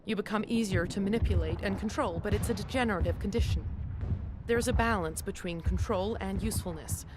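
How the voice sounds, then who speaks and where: An actress playing a frightened but pragmatic neurospecialist in a video game, explaining things anxiously. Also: female voice, medium pitch, fairly quick, music in the background, lightly acted. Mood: uneasy, explaining. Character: frightened, pragmatic female neurospecialist at villain's research lab.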